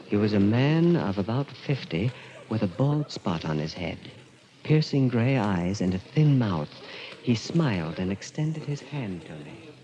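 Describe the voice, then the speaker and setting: A man's voice: on the low side, big pitch swings, measured pace, warm tone. An actor playing an uneasy, desperate man caught in a macabre tale, in 1940s radio drama style. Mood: haunted, tense. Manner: hesitant in dialogue, intense and dramatic in narration. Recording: muffled old-time radio recording. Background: dramatic music.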